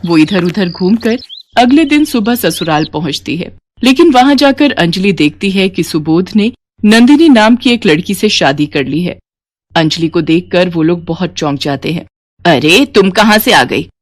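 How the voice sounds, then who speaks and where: A woman's voice: on the low side, lively intonation, moderate pace, darkish tone, dry recording. A woman narrating a scripted moral bedtime story as a storyteller.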